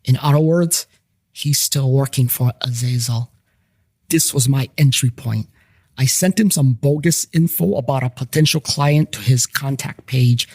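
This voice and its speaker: A man's voice: mid-range voice, a little slow, a little mellow, slightly rough, dry recording. A man narrating a scripted first-person story as the narrator of a fiction podcast.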